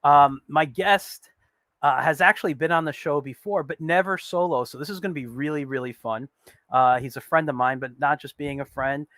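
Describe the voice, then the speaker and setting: A man's voice: a little high, moderate pace, a bit bright, no echo, studio quality. A man in his forties hosting an online interview show, chatty and upbeat with frequent fillers. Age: 40s. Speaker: host.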